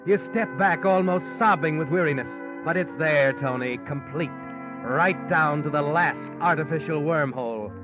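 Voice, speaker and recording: Man acting a haunting radio mystery narrator over loud music. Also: a little high, natural voice. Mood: ominous, pressing. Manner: haunting, knowing, deliberate dramatic delivery. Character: omniscient sinister male narrator taunting the guilty protagonist. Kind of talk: 1940s radio mystery narration in second person. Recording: muffled old radio recording with loud music.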